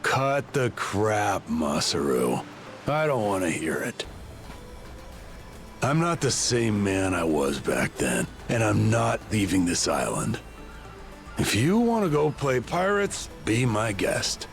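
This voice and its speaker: A gruff, gravelly middle-aged father in a video game drama, bitter and hard-drinking. He speaks slowly and curtly, with big swings in pitch, over background music. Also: very animated, exaggerated voice. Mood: fed up, defiant. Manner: gruff, curt, swearing, dismissive.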